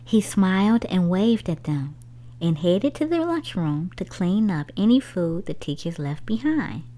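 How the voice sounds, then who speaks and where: A woman's voice: fairly low pitch, very animated, unhurried, warm tone. A woman reading aloud as a children's story-time host, with a sing-song manner aimed at small children. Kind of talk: animated read-aloud storytelling for young children.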